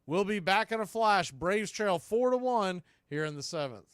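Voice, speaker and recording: A man's voice: high voice, lively intonation, moderate pace, fairly bright tone, clear, resonant tone, no echo, studio quality. A man calling a baseball game live as a play-by-play announcer.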